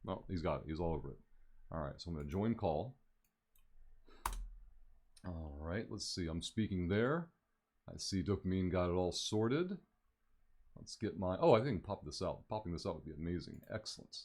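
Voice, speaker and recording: A man's voice: low voice, expressive, moderate pace, pauses often, darkish tone. A man hosting a live-streamed interview, asking loose, rambling questions with enthusiasm. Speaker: host.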